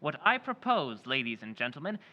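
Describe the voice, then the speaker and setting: An actor playing a smooth-talking mysterious stranger who presents himself as an antiques dealer, in an old-time radio play recreation. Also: male voice, mid-range voice, sing-song, fairly quick, sharp tone, dull audio. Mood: smooth persuasive. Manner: persuasive, salesmanlike.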